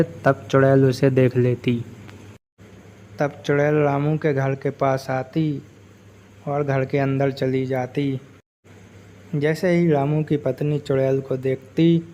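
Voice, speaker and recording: Slow, flat-toned male storyteller. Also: medium pitch, highs cut off. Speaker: story narrator. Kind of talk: scripted storytelling narration.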